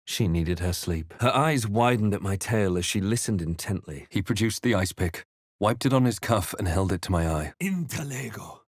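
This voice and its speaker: A man voicing a grave, watchful fantasy hero in a video game, with measured, dramatic delivery. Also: fairly low pitch, animated, no room sound, studio quality. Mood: incanting. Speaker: video game player character voice actor. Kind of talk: scripted game narration and character lines.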